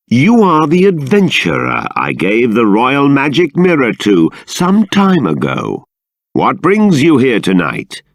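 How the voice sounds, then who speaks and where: Slow, stately, expressive king. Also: fairly low pitch, no echo, clean studio sound, full character voice. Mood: curious, impatient. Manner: regal, formal, measured, deliberate. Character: dignified, stern but fair middle-aged fantasy king. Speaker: video game voice actor. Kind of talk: theatrical scripted game dialogue.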